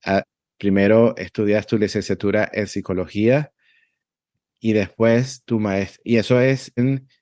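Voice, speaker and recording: A man's voice: lowish voice, steady tone, unhurried, a little mellow, no room sound, studio quality. A man hosting a podcast interview, asking questions and recapping his guest's story to check it. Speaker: podcast host.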